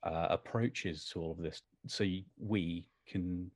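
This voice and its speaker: A man's voice: sits low, fairly even intonation, slow pace, dry recording, studio quality. A man answering as an interview guest in a conversational, considered and diplomatic manner.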